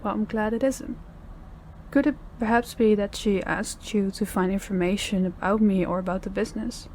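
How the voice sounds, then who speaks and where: A woman acting as a controlling female boss in an audio roleplay, speaking in a measured, polite and quietly pressing way. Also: mid-range voice, steady tone, slight background noise, natural voice, rough recording. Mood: questioning. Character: controlling, probing female crime boss, outwardly courteous.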